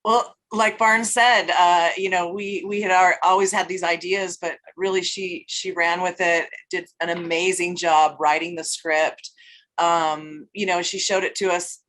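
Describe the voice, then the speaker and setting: A woman chatting casually as an interview guest, halting and admiring as she speaks. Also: lowish voice, flat delivery, fairly bright tone, rough recording. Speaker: band member, guest.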